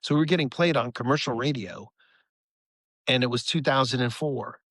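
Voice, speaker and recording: An American man in a casual interview, speaking at a middling pitch with a dry, close sound. He tells stories earnestly and in a rambling way, circling back to repeat his phrases. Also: normal speed, fairly bright tone, no echo. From United States. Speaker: rock musician, interview guest.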